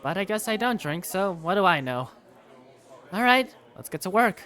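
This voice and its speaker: A woman reading first-person narration and lead lines for a game fandub in an expressive, conversational way. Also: mid-range voice, normal speed. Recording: faint music behind the voice.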